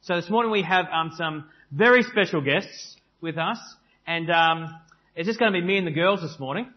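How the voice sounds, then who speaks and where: A man leading a church service in a relaxed, chatty way, full of ums. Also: high-pitched, normal speed. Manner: relaxed, chatty, filler-heavy. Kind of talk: informal church address, hosting guests. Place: church sanctuary. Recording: dull lo-fi church recording.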